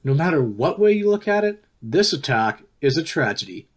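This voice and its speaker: A middle-pitched voice with widely varying intonation. An actor plays a chatty, opinionated political interviewer in a radio play, steering the talk with leading, insinuating questions. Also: sing-song, normal speed, slight background noise, close to an everyday voice. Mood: pointed, lively. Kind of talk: conversational radio play acting.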